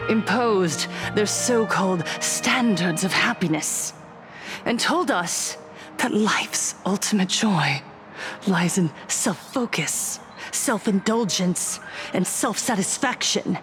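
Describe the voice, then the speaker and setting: A woman's voice: mid-range voice, music in the background, natural voice. A woman playing a fervent cult preacher in a game, delivering a solemn, rousing, theatrical sermon about happiness. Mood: solemn, reverent. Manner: solemn, measured, rousing oratory. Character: fervent female cult preacher promising happiness, smiling and zealous.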